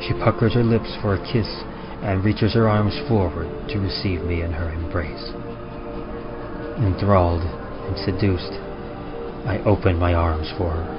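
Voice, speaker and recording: A man playing an obsessive, lovelorn man in a solemn, theatrical first-person horror tale. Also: low-pitched. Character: obsessive lovelorn man awaiting his dead love, enthralled. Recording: dull audio under loud music.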